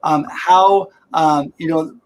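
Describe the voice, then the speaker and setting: A man's voice: a little high, fairly even intonation, takes it slowly. A man hosting a live-streamed interview over a video call, upbeat and enthusiastic. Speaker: host.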